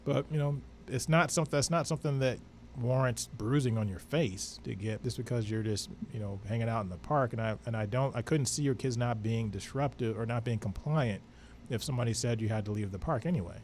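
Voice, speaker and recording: A man's voice: mid-range voice, fairly quick, darkish tone. American male co-host, faint background noise. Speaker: podcast co-host. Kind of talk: casual radio interview chat. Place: small indoor studio. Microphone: close studio broadcast microphone.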